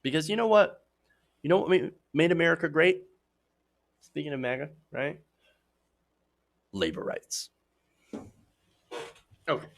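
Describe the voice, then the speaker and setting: A man hosting a progressive political news show, giving emphatic, opinionated commentary straight to viewers while his voice is slightly hoarse. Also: fairly high pitch, lively intonation, unhurried, long pauses.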